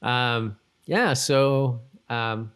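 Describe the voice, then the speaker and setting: A man's voice: mid-range voice, extremely slow, a bit bright, smooth. A man co-hosting a podcast in casual chat, talking in a rambling, self-interrupting way. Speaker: podcast co-host. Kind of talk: casual chat reviewing a TV episode.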